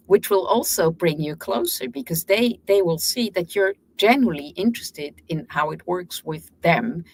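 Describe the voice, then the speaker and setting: A woman's voice: on the low side, a little hiss or hum. A woman whose first language is German, a guest lawyer telling frank workplace stories in a casual interview, with some restarts.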